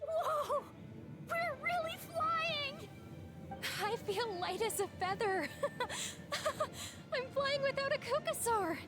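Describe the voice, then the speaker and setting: A wonderstruck young girl trainee in an anime game. Her voice is very high and unhurried, breathless with awe, over soft music. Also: expressive, exaggerated voice. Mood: elated. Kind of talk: anime-style game voice acting.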